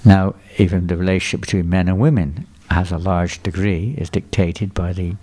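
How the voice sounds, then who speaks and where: A man's voice: deep voice, steady tone, normal speed, mellow. Older man giving a chatty sermon. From England. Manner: conversational, questions the audience and repeats their answers. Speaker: visiting speaker at a temple. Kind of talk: sermon lecture. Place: temple hall before a live audience.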